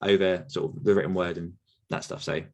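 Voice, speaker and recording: Guy on a podcast, lowish voice, wanders around his answers with lots of ums. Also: fairly even intonation, brisk, creaky, darkish tone. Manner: rambling, self-correcting, frequent fillers. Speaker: podcast host answering listener questions. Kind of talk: casual Q&A chat.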